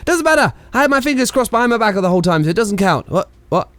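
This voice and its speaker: An actor as a rambling, jokey radio announcer, higher-voiced man. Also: big pitch swings, quick pace, a bit bright, no room sound. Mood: flustered. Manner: stammering, digressive, cheeky. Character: jokey, rambling, self-mocking 1920s radio station announcer. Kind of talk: radio play, improvised-sounding comic broadcast patter.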